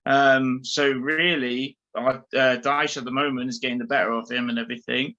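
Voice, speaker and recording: A man with a bright, forward voice chats about football as a panellist. The audio is slightly dull and lo-fi, and he talks in an informal, conversational way. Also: medium pitch, fairly even intonation, normal speed. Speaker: podcast panellist. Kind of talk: casual football chat.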